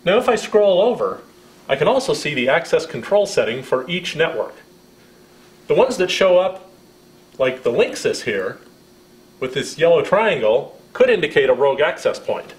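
Lively American man presenting, roomy sound. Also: on the high side. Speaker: product presenter. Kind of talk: scripted product demonstration.

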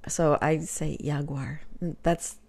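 Middle-aged woman, light Texas accent, lower register, casual self-mocking webcam vlogger at her desk. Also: steady tone, slow pace, vocal fry, darkish tone. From Texas, USA. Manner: chatty, self-deprecating, filler-heavy, drops to whispers. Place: small home room with shelves, indoors.

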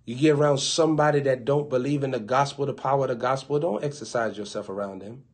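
Young man preaching live on webcam. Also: mid-range voice. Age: young adult. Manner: playful, acts out skeptics and quips in quick asides. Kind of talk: livestream preaching. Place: indoors before a gold bokeh backdrop with an open Bible. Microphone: webcam close up.

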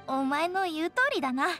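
A woman's voice: extremely high pitch, heavily acted. A woman voicing an earnest, eager teenage girl ranger in a video game, speaking plainly with slight hesitation. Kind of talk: Japanese game character acting. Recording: soft music behind the voice.

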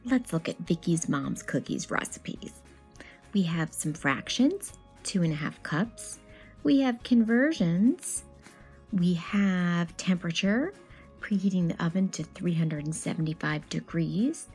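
A woman in her thirties giving an expressive picture-book read-aloud, animatedly addressing children with questions and asides. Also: lowish voice, a little slow, soft background music.

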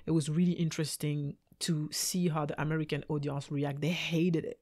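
A young woman raised in Belgium, a French native speaker, chatting as a guest on a podcast in a small studio, animated and gesturing with her hands. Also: sits low. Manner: animated, talks with her hands, quick laughs. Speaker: guest, choreographer and dancer. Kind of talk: casual interview chat. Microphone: studio mic on boom arm.

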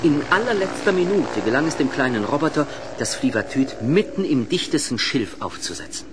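A man's voice: medium pitch, expressive, fairly quick, background noise, close to an everyday voice. A man narrating a children's adventure radio play in a scripted storybook style. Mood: descriptive, wry.

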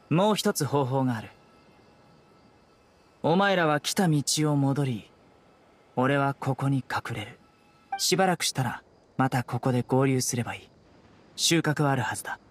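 A man's voice: mid-range voice, lightly acted. Terse, stern young investigator, played by a man. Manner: terse, blunt, composed. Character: stern, calm, resolute young male investigator. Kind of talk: Japanese anime game voice acting.

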